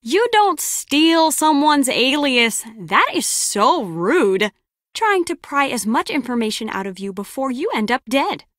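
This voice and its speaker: A woman's voice: high-pitched, sing-song, measured pace, fairly bright tone, no echo, studio quality, full character voice. An actress playing a brusque, sarcastic lone-wolf female spy in a video game, with a curt, biting delivery. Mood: cold, threatening. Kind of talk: scripted game character dialogue.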